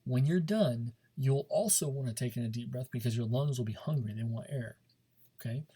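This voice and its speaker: Husky, mellow male teacher. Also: medium pitch, moderate pace. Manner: informal, coaching, checks understanding with frequent "okay" and "right". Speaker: speech class teacher. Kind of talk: instructional class lesson.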